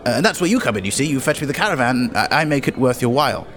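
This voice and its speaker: A man's voice: medium pitch, animated, very fast, fairly bright tone, slight background noise, lightly acted. A man voicing a flustered, cowardly but boastful goblin merchant in a tabletop game, stammering and wheedling like a salesman. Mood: nervous wheedling. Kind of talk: tabletop actual play character acting.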